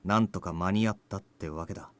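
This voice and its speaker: Guy acting cool curt young anime dude, lowish voice, talks super quick, blunt but protective, visual novel. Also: natural voice. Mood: cool, terse. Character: gruff young man, blunt, terse but protective ally.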